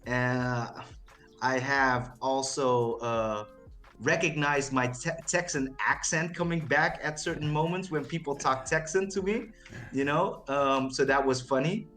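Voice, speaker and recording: A man who is not a native English speaker, speaking as a guest on a casual livestream interview, halting and full of restarts. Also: mid-range voice, a bit bright, soft background music. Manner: halting, with many restarts and fillers. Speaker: interview guest, token project owner.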